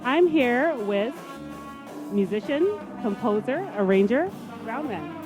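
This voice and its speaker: A woman's voice: fairly high pitch, animated, slow. A woman hosting a casual on-location interview in an informal, slangy way. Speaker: show host, interviewer. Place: at a city jazz festival. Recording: loud music in the background.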